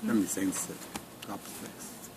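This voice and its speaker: A man in his forties from Mexico with a Mexican Spanish accent, a Spanish speaker giving brief, plain answers with restarts in an oral history interview. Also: moderate pace. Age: 40s. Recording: noisy recording with room hiss.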